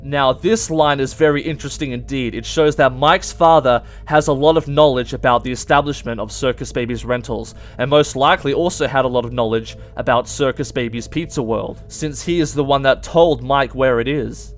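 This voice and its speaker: A man's voice: mid-range voice, normal speed, hardly any pauses, soft background music. A man hosting informal explanatory video game commentary, emphatic and stretching key words as he goes. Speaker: video game theory host.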